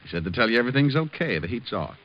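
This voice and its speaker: An actor playing a cool, suave private detective, glib and flippant, in an old-time radio noir drama. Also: male voice, mid-range voice, big pitch swings, rapid-fire, slight background noise, natural voice. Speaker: lead actor and first-person narrator. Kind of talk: 1940s-50s radio noir acting and narration. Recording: dull lo-fi old radio recording.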